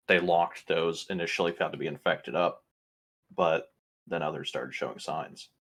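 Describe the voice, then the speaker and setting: A man's voice: sits low, a bit bright. A man playing a tabletop roleplaying game, talking casually as he reasons through the story with the group.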